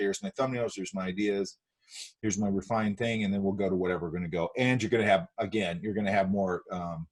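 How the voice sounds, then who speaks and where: Male art instructor, husky, sniffly with a cold, talks in loose restarts over a video call. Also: low-pitched. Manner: rambling, many fillers and restarts. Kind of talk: online class lecture.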